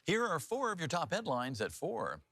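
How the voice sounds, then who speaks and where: A man's voice: fairly high pitch, wildly swooping pitch, normal speed, background noise. A middle-aged American man presenting local TV news in a chatty, folksy, informal way. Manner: folksy, informal, loose ad-libbing. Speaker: news anchor and host. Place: TV news studio. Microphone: lapel mic.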